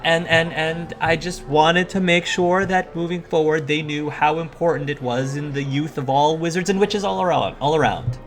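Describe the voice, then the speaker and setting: A man's voice: on the high side, fairly even intonation, moderate pace, hardly any pauses, faint music behind, pitched above the performer's own voice, natural voice. A man voicing an earnest, stammering young wizard student.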